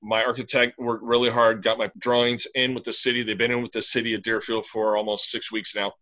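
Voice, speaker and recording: Middle-aged man, brewery owner, bright voice, upbeat plain talk, webcam call. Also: mid-range voice, level tone, brisk. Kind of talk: casual video-call interview. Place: small room at home. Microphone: webcam on a video call.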